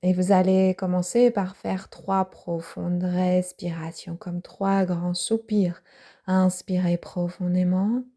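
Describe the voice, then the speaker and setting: A woman yoga teacher guiding a yoga nidra relaxation, speaking softly with breathy, half-whispered phrases. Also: fairly low pitch. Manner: slow, soft-spoken guidance with breathy, half-whispered phrases.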